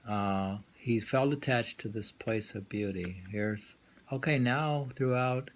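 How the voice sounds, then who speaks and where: Low slow guy reading poems n musing on them, halting, bit of noise behind him. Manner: halting, musing, repeats lines. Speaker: poetry reader and commentator. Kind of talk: informal poetry reading with musing commentary. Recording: noisy amateur recording.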